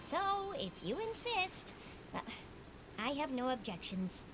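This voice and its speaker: A woman's voice: high-pitched, big pitch swings, measured pace, background noise. A woman voicing a dutiful, flustered small fey court clerk in a game. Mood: fretful. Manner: polite, flustered. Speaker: court assistant.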